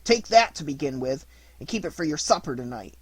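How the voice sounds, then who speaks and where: Bully schoolboy, loud and taunting, played by the narrator. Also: mid-range voice, big pitch swings, a little fast, breathy edge, no echo.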